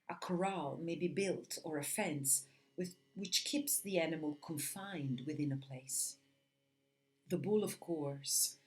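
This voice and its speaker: A woman yoga teacher reading a spiritual commentary aloud in measured, deliberate phrases. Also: deep voice. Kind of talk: read-aloud spiritual lesson with Sanskrit chanting.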